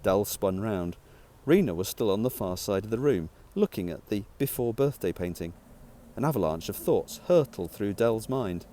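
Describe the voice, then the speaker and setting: Expressive, mellow-voiced man reading a story. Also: on the low side, moderate pace, a little hiss or hum. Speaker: author reading their own novel. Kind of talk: audiobook narration of a light-hearted sci-fi story.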